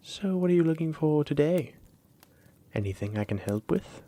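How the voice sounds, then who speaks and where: A man's voice: on the high side, animated, mellow. A man playing a flirty, teasing dragon-blooded librarian in a roleplay audio drama, coy and persistent, lingering on his phrases. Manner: coy, teasing, drawn-out phrases with breathy asides.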